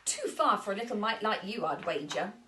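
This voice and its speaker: A woman's voice: medium pitch, animated, brisk, pushed and bright, slight background noise. A woman voicing a large spider in a children's storybook, pitying and condescending. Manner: sighing, condescending.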